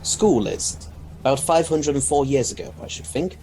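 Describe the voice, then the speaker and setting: A man playing a brisk, urbane scientist adventurer in an audio drama, with a gentlemanly, authoritative manner. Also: medium pitch, lively intonation, lightly acted, poor audio. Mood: calm, thoughtful. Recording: loud storm and engine noise.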